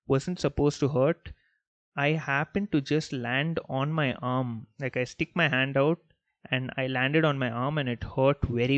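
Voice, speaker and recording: A man's voice: mid-range voice, dry recording, lo-fi sound. Young man telling casual stories. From Bangalore, India. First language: Malayalam. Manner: relaxed, rambling, many fillers like "like" and "you know". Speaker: podcast host. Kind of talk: casual storytelling monologue.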